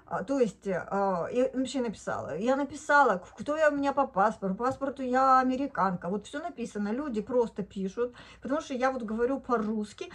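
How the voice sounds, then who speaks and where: Chatty woman in her fifties, slightly high voice. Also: expressive, a little fast, few pauses. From Odesa, Ukraine. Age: 50s. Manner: chatty and indignant, bursts into laughter. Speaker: vlogger. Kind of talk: casual video diary monologue. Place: living room at home, indoors. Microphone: phone camera at arm's length.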